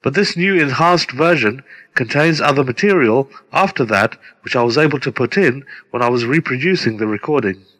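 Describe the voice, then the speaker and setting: A middle-aged man reading a scripted introduction as a presenter, deliberate and formal. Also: medium pitch, expressive, a bit bright. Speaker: presenter introducing his own radio play. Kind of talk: scripted narration. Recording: long hall-like reverb.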